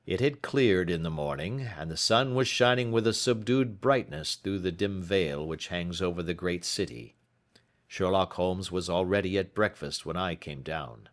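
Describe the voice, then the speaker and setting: A man reading scripted literary narration as an audiobook narrator, in a measured, dramatic storytelling manner. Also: low-pitched.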